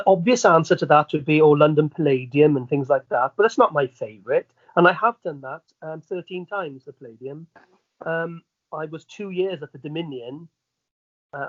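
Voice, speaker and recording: Welsh guy, middle aged, highish voice, chatty entertainer telling stories, bit muffled audio. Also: no room sound. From Wales. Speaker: entertainer, interview guest. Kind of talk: casual anecdotal chat.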